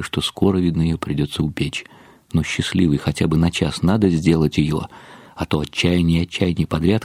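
A man narrating a first-person radio drama in an expressive, confiding, slightly wry way. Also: extremely low pitch, husky, no room sound, clean studio sound. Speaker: narrator and lead actor.

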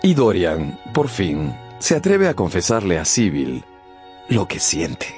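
Very deep-voiced male storyteller. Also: wildly swooping pitch. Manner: theatrical, deliberate pauses. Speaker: narrator. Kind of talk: dramatic scripted storytelling. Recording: music behind the voice.

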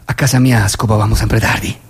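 A man's voice: fairly low pitch, fairly even intonation, fairly quick, gravelly, slightly rough, voice pushed down, exaggerated voice. A man playing an awkward, accommodating boyfriend in a comic phone dialogue, hesitant, laid-back and repeating himself. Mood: casual, sheepish.

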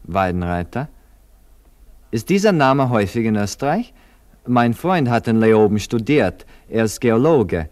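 Tourist, radio drama, curious, polite, lively melodic delivery, slightly low voice. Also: male voice, measured pace. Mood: politely curious. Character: curious, courteous American male tourist marvelling at mountains.

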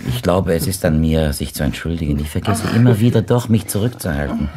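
An actor playing a blind male visitor in a radio play, formal and flowery, courteous yet insinuating, with a gravelly, husky voice. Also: low-pitched, a little fast, noisy background, natural voice. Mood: smoothly apologetic. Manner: formal, flowery, elaborately polite. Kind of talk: German radio play acting.

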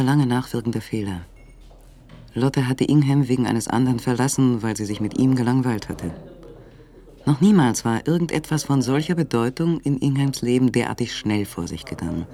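A man's voice: medium pitch, animated, moderate pace, dark tone, close to an everyday voice. A man reading scripted narration for a radio play, on a recording with faint noise.

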